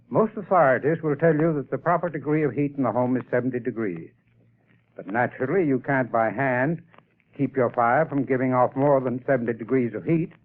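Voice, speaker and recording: Persuasive 1930s male radio pitchman. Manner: friendly, persuasive salesman. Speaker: advertising spokesman. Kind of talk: scripted radio advertisement. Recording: tinny, scratchy 1930s radio broadcast.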